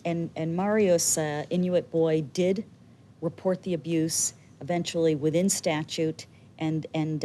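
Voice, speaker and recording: A woman's voice: sits low, dark tone, a little hiss or hum. A woman activist speaking earnestly in an interview, hesitating often with fillers.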